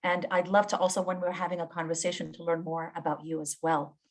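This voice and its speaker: A woman from Jordan, a professor and guest speaker, giving a presentation on a video call in a warm, effusive way with frequent ums. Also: on the low side, flat delivery, quick pace.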